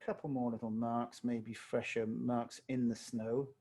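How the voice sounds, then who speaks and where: A man with a slightly hoarse voice, teaching watercolor live on a video call and thinking aloud as he paints. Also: mid-range voice, unhurried. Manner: relaxed, thinking aloud while painting, self-correcting. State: slightly hoarse, losing his voice. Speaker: watercolor tutor. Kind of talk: live online painting tutorial.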